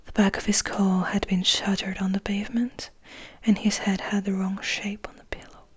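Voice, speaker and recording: A brooding, guilt-ridden male vampire, as a woman reads him in a whispered storybook confession. The delivery is slow and measured, very breathy, with a dry, intimate sound. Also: mid-range voice, flat delivery, no echo. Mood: mournful, guilty. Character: melancholy guilt-ridden male vampire recounting his brother's death. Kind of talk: whispered ASMR audiobook reading.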